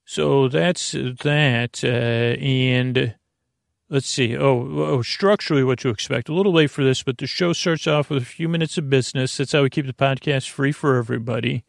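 A man with a mid-low, crackly voice hosts a sleep podcast, recorded dry with no room sound. He improvises as he goes, stumbling over repeats and drifting into silly tangents. Sentences meander and trail off. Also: normal speed, creaky, no echo. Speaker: podcast host.

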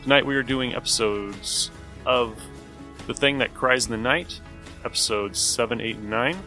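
A man's voice: medium pitch, moderate pace, bright, forceful tone. A man introducing a podcast episode in a casual, scripted host style. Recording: voice over faint music. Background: faint music.

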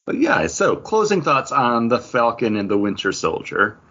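A man's voice: mid-range voice, very animated, normal speed, a bit bright, breathy edge, lo-fi sound. A man hosting a podcast in an enthusiastic, casual, chatty way. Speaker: podcast host. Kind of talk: casual chat.

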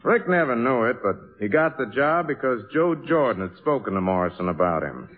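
A man's voice: medium pitch, sing-song, brisk, phone-quality sound, lightly acted. An actor playing an easygoing musician who narrates the story of his friend in a 1950s radio drama. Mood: reminiscing.

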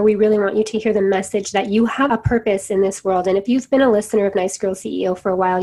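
A woman's voice: mid-range voice, a little mellow. A woman hosting a podcast, talking in an enthusiastic, encouraging way as she introduces her guest. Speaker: podcast host. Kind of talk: upbeat podcast interview.

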